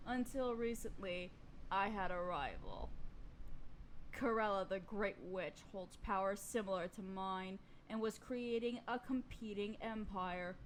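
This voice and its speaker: A woman's voice: on the high side, slight background noise. A woman playing an imperious evil queen and sorceress in a fantasy audio roleplay, speaking formally with pompous, condescending menace. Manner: formal, pompous, condescending, slow deliberate delivery. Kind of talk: scripted fantasy audio roleplay addressed to listener.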